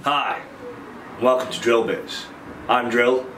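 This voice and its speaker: A man's voice: mid-range voice, slow pace, a bit bright. A man hosting a casual interview, asking short and teasing questions. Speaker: interview host. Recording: echoey room, distant mic, faint noise.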